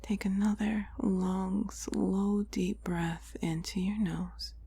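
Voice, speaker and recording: A woman whispering a guided meditation in a breathy, soothing, deliberate voice with long pauses between phrases. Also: mid-range voice, fairly even intonation, mellow. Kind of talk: whispered guided relaxation, ASMR-like scripted narration.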